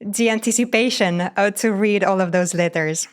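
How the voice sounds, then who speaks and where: A woman's voice: mid-range voice, normal speed, clear, resonant tone. A woman from Romania, hosting an interview in a fluent, engaged conversational way. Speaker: interview host.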